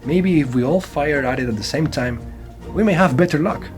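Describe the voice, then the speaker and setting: A man's voice: fairly high pitch, fairly quick, music in the background, close to an everyday voice. A man playing a serious, weary monster hunter, grave and terse, in an audio drama. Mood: grim urgency.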